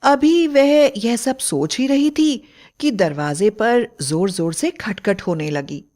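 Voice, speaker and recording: A woman's voice: a little high, animated, slow pace, darkish tone. A woman storyteller reading a scripted bedtime fairy tale as narrator.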